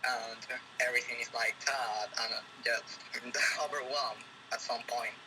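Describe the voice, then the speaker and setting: A man from Venezuela talks in English with a Venezuelan accent, earnest and going on at length. His voice stays flat and steady, at an ordinary pitch. A clear hiss of noise sits under the recording. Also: unhurried. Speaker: guest. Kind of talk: casual conversation. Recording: noisy background hiss.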